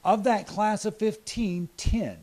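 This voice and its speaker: A man's voice: sits high, slow pace, a little mellow. A man with an American accent, a TV news reporter reading scripted feature narration.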